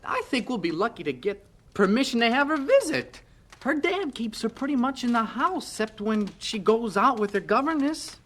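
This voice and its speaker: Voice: mid-range voice, big pitch swings, moderate pace, fairly bright tone. An actor playing a sensible, considerate, friendly boy in a 1970s radio drama. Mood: reasonable.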